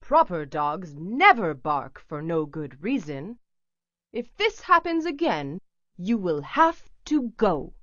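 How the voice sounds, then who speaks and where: A woman's voice: lowish voice, big pitch swings, slow, fairly bright tone, no echo, heavily acted. Casting: woman playing a stuffy proper dad in a picture book, clipped and stern, dry and slightly dull sound. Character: stiff proper father, stern and formal.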